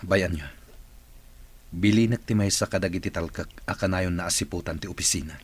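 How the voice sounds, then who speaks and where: A man's voice: sits low, fairly quick, a little hiss or hum, lightly acted. A man with an Ilocano accent playing an ailing company boss, firm yet hopeful, in an audio drama. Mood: firm, worried. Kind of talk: radio-style drama dialogue.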